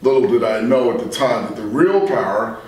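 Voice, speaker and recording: An older man telling stories conversationally as an interview guest, in a rambling, unhurried way. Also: medium pitch, expressive, a little mellow. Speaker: interview guest, radio veteran. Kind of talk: conversational reminiscing. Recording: very echoey room, distant microphone.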